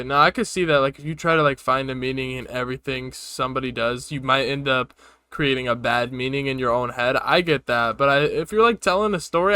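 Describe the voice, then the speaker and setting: A man's voice: medium pitch, bright, forceful tone, clear, resonant tone, no room sound. A man hosting a reaction video, giving casual, slangy commentary. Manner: slangy, informal.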